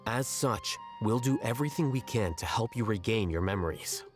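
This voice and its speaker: An actor playing an aloof, coolly logical scholar in a video game, speaking in a measured, matter-of-fact and condescending way. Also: male voice, fairly low pitch, lively intonation, close to an everyday voice. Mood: calm, analytical. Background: game music.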